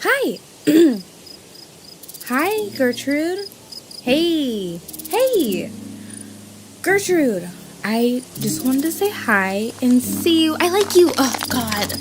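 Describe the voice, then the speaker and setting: A high-pitched, anxious and earnest female runaway, acted by a woman in an audio drama. She speaks at a slow pace, with faint chirping noise behind. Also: sing-song, exaggerated voice. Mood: nervous, earnest. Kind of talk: live-streamed audio drama acting.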